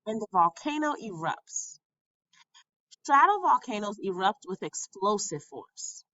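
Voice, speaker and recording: Bright-voiced woman reading slowly aloud. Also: medium pitch, big pitch swings, no room sound, lo-fi sound. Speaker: teacher reading a textbook aloud. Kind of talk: educational read-aloud narration.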